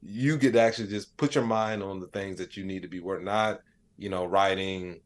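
A man's voice: sits low, fairly even intonation, fairly quick. A man speaking as a podcast guest in a casual, rambling, slangy conversational style. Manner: rambling, slangy, frequent "you know what I'm saying". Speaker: podcast guest, AI expert and comedian.

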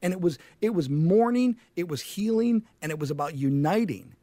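A man's voice: sits high, animated, moderate pace, warm tone, dry recording. A man hosting talk radio, speaking in an impassioned, rambling way with frequent restarts, into a studio microphone. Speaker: radio talk show host.

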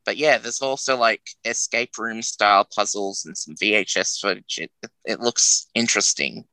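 A man's voice: on the low side, normal speed, bright, forceful tone, no echo, poor audio. A man co-hosting a radio show, describing games in a loose, hesitant way. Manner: loose, hesitant, lots of fillers. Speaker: radio co-host. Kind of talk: casual game chat.

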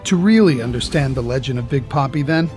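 A man narrating a documentary from a script in a measured, reflective way. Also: medium pitch, animated, a little mellow, faint music behind.

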